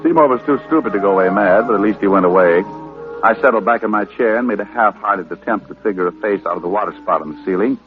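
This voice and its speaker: An actor playing a wisecracking, hard-boiled private detective with a dry, sardonic delivery in old radio style. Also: male voice, on the low side, fast talker, soft background music, natural voice. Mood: wry narration. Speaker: lead detective and narrator. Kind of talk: 1940s-50s radio detective acting. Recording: old radio broadcast, very narrow muffled band.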